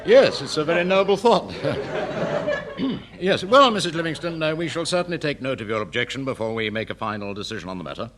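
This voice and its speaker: An actor playing a pompous, flustered and work-shy senior English civil servant in a radio sitcom. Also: male voice, medium pitch, lively intonation, fairly quick, fairly bright tone, some chatter behind, lightly acted. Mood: blustering, complacent. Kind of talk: British radio sitcom acting. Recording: noisy old radio comedy recording.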